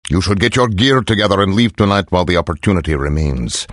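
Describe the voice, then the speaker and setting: A game general with an extremely low voice. He is composed and calculating, giving covert orders in formal, measured phrases. The sound is dry and close. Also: expressive, a bit bright, no echo. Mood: calm, calculating. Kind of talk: video game cutscene acting.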